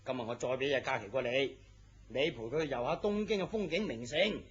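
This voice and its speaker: A man's voice: medium pitch, fairly quick, breathy edge. An actor playing an overbearing, womanising middle-aged boss in a Hong Kong Cantonese radio drama, theatrical and bossy. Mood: breezy, bossy. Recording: muffled lo-fi radio drama audio.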